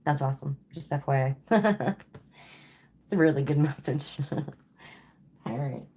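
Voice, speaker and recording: A woman's voice: very deep voice, animated, slow, dark tone, husky. A woman giving a live tarot reading to viewers, casual and chatty, tired and saying she is losing her voice. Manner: casual, repeats "okay?" and "like". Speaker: tarot reader.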